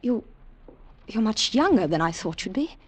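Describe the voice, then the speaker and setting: An actress playing a nervous, careful underground agent, hesitant and suspicious, in 1940s radio style. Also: female voice, medium pitch, very animated, moderate pace, breathy edge. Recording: noisy old 1940s radio broadcast.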